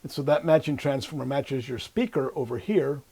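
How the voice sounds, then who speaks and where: A man's voice: mid-range voice, moderate pace, a little mellow, slightly rough. An older man, in his sixties or beyond, explaining like an instructor at a whiteboard, informally thinking aloud as he goes. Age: 60s or older. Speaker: electronics instructor. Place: small indoor room at a whiteboard. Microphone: lapel mic.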